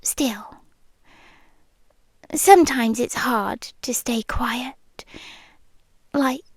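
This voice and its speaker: High, slow British yandere girlfriend, acted by a woman. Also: no room sound, full character voice. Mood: hushed, confiding. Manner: slow, teasing, softly menacing, with lingering pauses. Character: obsessive possessive yandere girlfriend, a menacingly affectionate voice in your head. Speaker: ASMR roleplay performer. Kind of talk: ASMR roleplay, intimate close speech slipping into whispers. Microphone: close microphone, dry sound.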